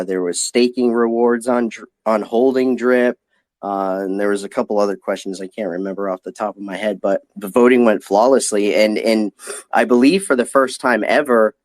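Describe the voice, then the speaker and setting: Man, lower-pitched voice, dry close sound, informal explainer, interview guest. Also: dry recording. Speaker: company executive guest. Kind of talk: casual interview answers. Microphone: online audio chat.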